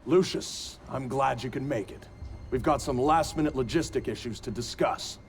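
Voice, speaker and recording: A man's voice: medium pitch, moderate pace, lightly acted. A scheming agency director, played by a male actor in a spy game cutscene. His mid-range voice is assertive and guarded with a faint smugness, over some music. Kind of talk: video game cutscene acting.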